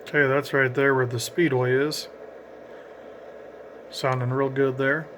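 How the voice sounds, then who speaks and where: A man's voice: mid-range voice, level tone, a little slow, a bit bright, slight background noise. A man giving casual, explanatory commentary as he narrates a radio range test.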